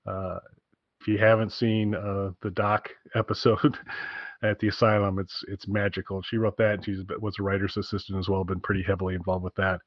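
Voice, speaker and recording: A man with a fairly low voice chats as a podcast co-host. He is enthusiastic and wanders through his sentences, often doubling back. The sound is dry and a little muffled, like a recorded online call. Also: little pitch movement, fairly quick, no echo. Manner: enthusiastic, rambling, repeats himself. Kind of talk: casual podcast chat. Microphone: internet call recording.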